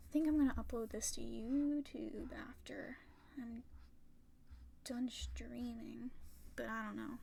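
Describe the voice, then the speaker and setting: A young woman chatting casually and rambling on a livestream while drawing, swearing freely, her voice a little rough and raspy from talking for a long time. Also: a little high, fairly even intonation, unhurried, long pauses, mellow, a little hiss or hum. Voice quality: slightly raspy. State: tired, sore throat from long talking. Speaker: art streamer.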